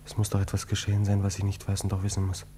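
An actor playing an agitated, impatient young army lieutenant in a radio drama, speaking in urgent, insistent bursts. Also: male voice, on the low side, monotone, rapid-fire, mellow, lightly acted. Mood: anxious, tense. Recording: noticeable background noise and hum.

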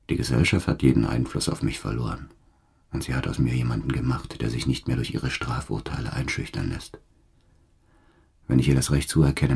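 An actor playing a hardened, embittered career criminal who makes grave, defiant declarations in a radio drama monologue. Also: male voice, rumbling low, a little mellow, heavily acted. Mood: bitter, defiant. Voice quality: gravelly, husky.

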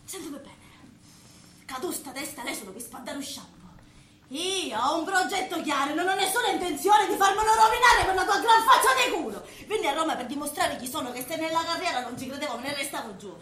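A woman's voice: sits high, expressive, fairly quick. A woman playing a fiery, ambitious young Sicilian gangster boss in a comic stage play, bossy, volatile and dramatic, with a Sicilian accent. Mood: indignant, grandiose. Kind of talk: theatrical comic stage acting. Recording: echoey stage recording with loud noise.

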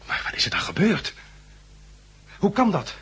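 An actor playing a shaken but helpful hospital patient in a 1960s radio drama, hesitant and urgent. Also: male voice, high-pitched, huge pitch swings, moderate pace, fairly bright tone, husky, in a whisper, exaggerated voice. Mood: alarmed. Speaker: lead actor and narrator. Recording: 1969 radio recording, faint noise.

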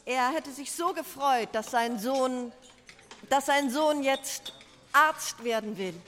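An actress playing a wistful, sentimental older widowed mother in a German radio drama, speaking haltingly. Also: high voice, fairly bright tone, a little hiss or hum. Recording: long hall reverb.

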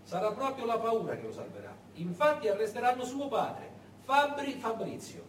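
A male stage actor tells a story as a dramatic monologue, posing rhetorical questions with emphatic repetition. His delivery swings widely in pitch. It echoes from some distance, with faint noise. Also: big pitch swings, normal speed. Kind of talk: theatrical narrative monologue.

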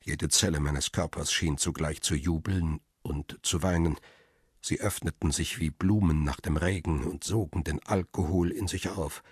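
An actor playing a world-weary photographer who narrates his ordeal in a radio crime play, sombre and deliberate. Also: rumbling low, fairly even intonation, slightly rough, no echo, studio quality. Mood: sombre, intense. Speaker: first-person narrator. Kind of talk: dramatic scripted narration.

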